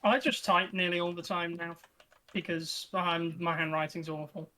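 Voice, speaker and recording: A woman with a slightly low voice talks informally among fellow tabletop players. Her delivery is casual and conversational. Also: steady tone, normal speed, sharp tone. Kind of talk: casual chat.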